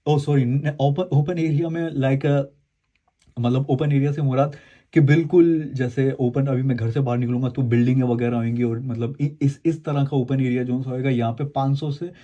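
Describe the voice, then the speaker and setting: Guy doing a casual gadget review, middle pitched voice, rambles and repeats himself. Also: steady tone, moderate pace, mellow, muffled recording. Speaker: host of an unboxing and review video. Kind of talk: casual explanatory product demo, speaking to viewers.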